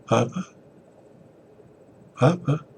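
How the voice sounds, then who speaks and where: A man voicing a tormented, helpless son in a dramatic story reading, pleading in a breathy whimper. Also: extremely slow, darkish tone, a little hiss or hum. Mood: desperate.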